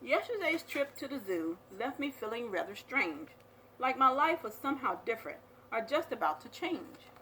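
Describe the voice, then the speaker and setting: A woman reading a children's picture book aloud as a storyteller, with expressive, dramatic delivery. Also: fairly high pitch, normal speed, a little hiss or hum, rough recording.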